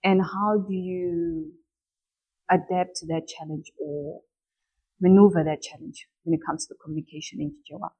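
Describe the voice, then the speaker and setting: Woman host, measured. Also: fairly low pitch, steady tone, warm tone, breathy edge, dull audio. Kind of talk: interview questions and scripted outro.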